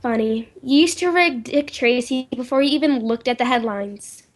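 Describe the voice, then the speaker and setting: A woman's voice: fairly high pitch, normal speed, lightly acted. A woman playing an anxious, insistent well-to-do lady in old time radio drama style, urgent and faltering in manner. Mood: guarded politeness.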